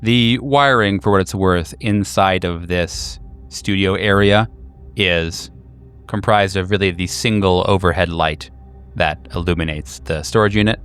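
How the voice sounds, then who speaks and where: A man narrating a tabletop horror game as game master, in a measured, descriptive storytelling manner. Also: low voice, fairly bright tone, clear, resonant tone, faint music behind.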